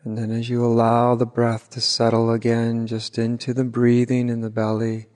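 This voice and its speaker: A man leading an unscripted guided meditation as a teacher, gently and unhurriedly, with long pauses. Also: medium pitch, completely flat delivery. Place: large reverberant room at a retreat. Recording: hall reverb, live retreat recording.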